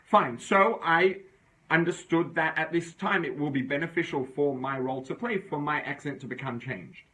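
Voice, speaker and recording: A man's voice: on the high side, moderate pace, bright, forceful tone, low-quality recording. A man teaching an informal religious class, reasoning aloud in a conversational way and often checking in with his listeners. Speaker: Torah teacher.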